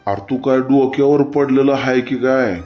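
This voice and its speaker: Dull, lo-fi audio with clear music behind it carries a man acting an irate cartoon village farmer. He speaks rural Maharashtrian Marathi at a middle pitch, blunt and stubborn. He haggles and curses in a rustic, repetitive way. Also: level tone, normal speed, close to an everyday voice. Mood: angry haggling. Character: irate, stubborn, foul-mouthed village farmer complaining to customer care. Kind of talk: comic cartoon phone-call sketch.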